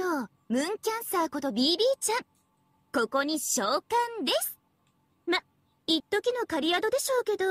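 A smug young anime game villainess, high and very lively in pitch. She teases in a cutesy, theatrical schoolgirl way, recorded close and dry. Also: no room sound, heavily acted. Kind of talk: Japanese mobile game character voice lines.

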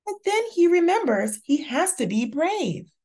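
A woman reading a children's picture book aloud in a deliberate, expressive storytelling manner. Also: extremely high pitch. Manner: slow, deliberate, expressive delivery for young children. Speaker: children's story reader and channel host.

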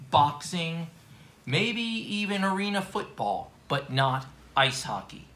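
A middle-aged man holds a handheld microphone at a restaurant table and builds his argument point by point in an earnest tone. His voice sits slightly high and bright, and the room adds a noticeable echo. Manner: earnest, declarative, lists points. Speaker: guest co-host. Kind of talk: casual sports talk show. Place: small restaurant dining room, seated at a table. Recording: echoey room.

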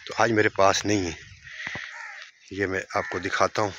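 A man's voice: low voice, little pitch movement, normal speed, background noise. A man in his thirties with a Punjabi accent, vlogging from his village and interviewing a local on a phone held at arm's length. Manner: raises voice to address viewers, speaks softer while walking. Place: outdoors in a village, light rain. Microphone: phone held at arm's length, selfie style.

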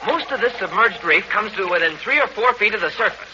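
A male actor playing a confident, quick-witted American teenage hero in a 1940s radio serial, speaking earnestly. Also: sits high, animated. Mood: urgent, confident. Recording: muffled noisy 1940s radio broadcast.

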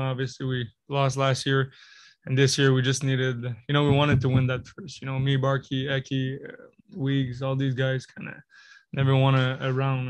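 A young man answers reporters after a game, speaking into a table mic with his phrases broken up by "you know" and "I mean". His pitch barely moves, staying level and even throughout. The sound is dry and close, with no room echo. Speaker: hockey player. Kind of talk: postgame press conference answers. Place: press conference room. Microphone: table mic in front of him.

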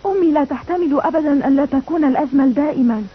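An actress playing a gentle, principled young princess in a cartoon dub, earnest and sweet. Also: female voice, high-pitched, racing, lightly acted. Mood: upset. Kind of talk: dubbed cartoon acting. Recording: tinny old cartoon dub, faint noise.

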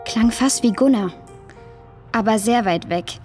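A female performer playing a troubled, downhearted girl in a children's audio drama, with a high, husky voice. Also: a little slow, darkish tone, soft background music, natural voice. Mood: worried, doubtful. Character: troubled, downhearted foster girl lost in a cave.

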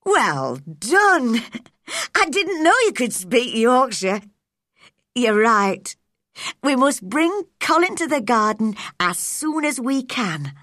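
Woman acting as good-natured country lad, storybook reading, raised pitch, lively, hearty, encouraging. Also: slow, no echo, studio quality, heavily acted. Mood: cheerful, approving.

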